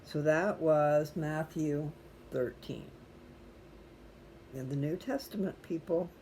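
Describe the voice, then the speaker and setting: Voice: mid-range voice, slow pace, darkish tone, a little hiss or hum. A chatty, folksy host talking casually and digressively to viewers. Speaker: host reading scripture aloud for viewers. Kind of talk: casual rambling personal talk to viewers.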